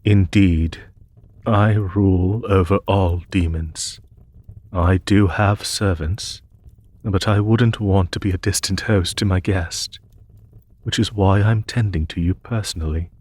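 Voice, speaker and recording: A man playing an ancient, courteous masked demon emperor in an audio roleplay, telling his tale in a formal, measured, gentle way. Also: deep voice, fairly even intonation, slightly rough, full character voice. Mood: courteous, gently amused. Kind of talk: scripted audio roleplay monologue.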